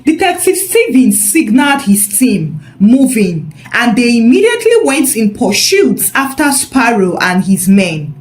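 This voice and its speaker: A woman narrating a scripted story in a measured, expressive, dramatic way. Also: a little high, rough recording.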